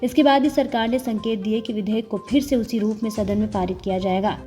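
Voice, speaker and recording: A woman's voice: fairly high pitch, dark tone. A woman delivering scripted news narration as a news narrator.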